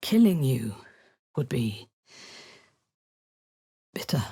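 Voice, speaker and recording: An actress voicing a ruthless drow woman commander who leads zealous raids, speaking in a cold, commanding voice with a husky edge that often sinks into a whisper. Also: very deep voice, very animated, extremely slow, warm tone, no room sound, studio quality, full character voice. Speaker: game character. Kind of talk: video game voice lines.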